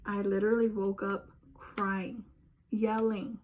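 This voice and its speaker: A woman's voice: mid-range voice, little pitch movement, slow, a little mellow, a little hiss or hum, low-quality recording. A young woman telling viewers a personal story in a casual, earnest way, talking straight to her audience. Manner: earnest, insistent, addresses viewers directly. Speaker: YouTuber.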